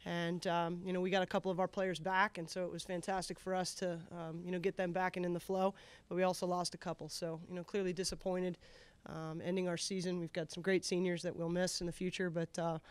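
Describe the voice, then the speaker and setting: A middle-aged woman coach answering press questions after a game at a table mic, steady and measured, with many filler words. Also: lowish voice, smooth, no echo. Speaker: head soccer coach. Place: press conference room at a table. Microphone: table microphone.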